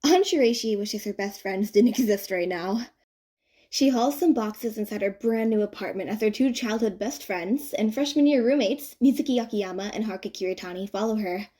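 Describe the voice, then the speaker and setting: A woman narrates a story in a mid-pitched voice. Her reading is scripted but conversational, with expressive, playful emphasis on asides. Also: normal speed. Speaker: audiobook narrator of fan fiction.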